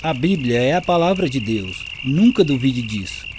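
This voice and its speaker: A man's voice: mid-range voice, very animated, normal speed, background noise, lightly acted. A man playing an earnest, firm preacher in a radio drama, exhorting solemnly. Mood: earnest exhortation. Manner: solemn, exhorting, scripture-quoting. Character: earnest, firm male evangelical preacher counselling a girl.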